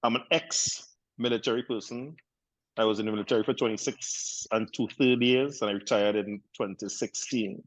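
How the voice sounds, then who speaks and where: A man in his fifties speaking on a panel about his life, relaxed and chatty with frequent restarts. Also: on the low side, moderate pace, dry recording, low-quality recording. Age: 50s. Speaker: panel guest. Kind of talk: casual chat.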